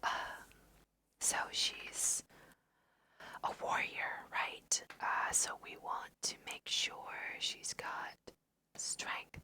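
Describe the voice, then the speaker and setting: A woman speaks entirely in a breathy whisper with a very bright, sharp edge. She takes her time, unhurried and slow. Her style is casual ASMR game commentary, chatty, as if thinking aloud while she plays. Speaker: host of an ASMR let's play.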